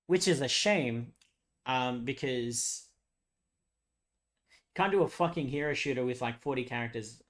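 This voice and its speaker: A hoarse young man chatting casually with viewers on a live stream, rambling, laughing often and swearing freely. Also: medium pitch, measured pace, long pauses.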